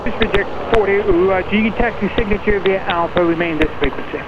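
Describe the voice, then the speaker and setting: A man's voice: high-pitched, a little fast. A man working as an air traffic tower controller, talking over the radio. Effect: radio transmission. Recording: muffled radio audio, loud aircraft noise. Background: aircraft engine and airfield noise.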